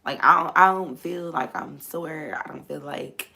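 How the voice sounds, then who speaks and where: Hoarse woman with a gravelly low voice. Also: measured pace, sharp tone. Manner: repetitive, emphatic, preachy. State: voice gone, hoarse. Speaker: host. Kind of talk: casual faith talk livestream.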